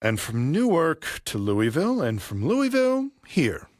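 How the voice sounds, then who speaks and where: A deferential barber, lively intonation. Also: male voice, on the high side, measured pace, no echo, studio quality. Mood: calm, probing. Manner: polite, deferential, repeatedly saying sir. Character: deferential, chatty barber quietly stalking his customer. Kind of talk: audio play acting. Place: professional recording studio.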